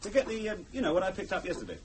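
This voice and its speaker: An actor playing an affable, debating husband with an English accent in a British radio drama. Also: male voice, sits high, sing-song, rapid-fire, a little mellow, a little hiss or hum. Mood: eager. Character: genial, debating husband who loves old junk.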